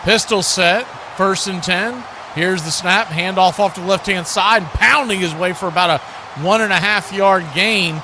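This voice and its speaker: Male American play-by-play commentator, high voice, folksy and conversational, football livestream with clear background noise. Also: moderate pace.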